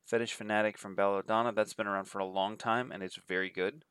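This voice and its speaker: A man's voice: fairly low pitch, steady tone, quick pace, fairly bright tone, clear, resonant tone, no room sound. A man with a cold and a nasal voice, giving a rambling, casual spoken review of films and listing titles with many fillers. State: has a cold. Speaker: adult film reviewer.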